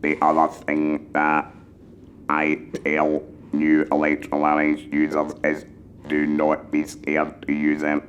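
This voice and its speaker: Male mentor, electrolarynx speaker: mechanical buzz, utterly flat intonation, slow and deliberately articulated advice. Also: extremely low pitch, a little hiss or hum. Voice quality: buzzing, monotone electrolarynx voice. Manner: measured, deliberately enunciated phrasing. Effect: mechanical electrolarynx vibration. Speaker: patient mentor for electrolarynx users. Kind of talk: explanatory talk giving advice.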